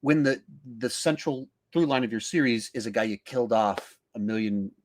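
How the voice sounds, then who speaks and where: A man's voice: mid-range voice, normal speed, slight background noise. A man hosting a podcast in a casual film discussion, thinking aloud in a rambling way with repeated words and restarts. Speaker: podcast host.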